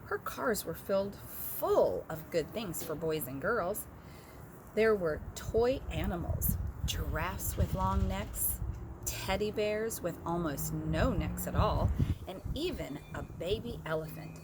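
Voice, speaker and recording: A woman reading a children's story aloud as an expressive storyteller. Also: on the low side, takes it slowly, very noisy.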